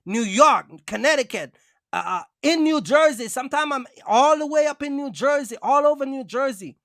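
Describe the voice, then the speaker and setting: Casting: male vlogger, high voice, dry recording, casual streetwise storyteller, emphatic and repetitive. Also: lively intonation, moderate pace, a bit bright, dry recording. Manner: emphatic, repetitive, addresses listeners directly. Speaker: box truck owner-operator and vlogger.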